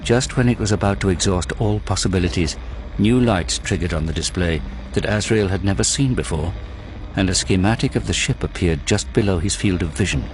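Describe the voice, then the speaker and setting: A man narrating a war story in a grave, deliberate, dramatic scripted style. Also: sits low, slight background noise, poor audio. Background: prominent dramatic music.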